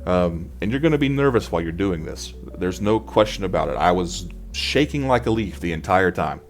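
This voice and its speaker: A man's voice: low-pitched, expressive, a little fast, faint music behind. A man presenting exam advice in an informal tutorial style, talking through slides.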